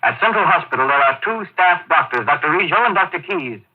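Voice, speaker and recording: A man's voice: fairly high pitch, expressive, fairly quick, slightly rough. A man playing a dutiful, earnest army aide with deferential, clipped military formality in an old-time radio drama. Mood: urgent, commanding. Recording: tinny, noisy old radio recording.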